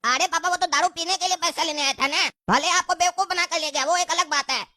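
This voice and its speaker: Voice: very high-pitched, quick pace, bright, forceful tone, no room sound, studio quality. A performer voicing a meddling pumpkin boy in a Hindi cartoon, pert and argumentative. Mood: indignant. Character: meddling, stirring pumpkin kid, a son.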